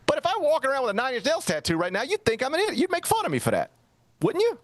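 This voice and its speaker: A man's voice: very high voice, huge pitch swings, rapid-fire, no room sound. A middle-aged man hosting a sports talk show, chatting casually and telling a rambling, self-mocking story. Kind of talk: casual radio-style chat.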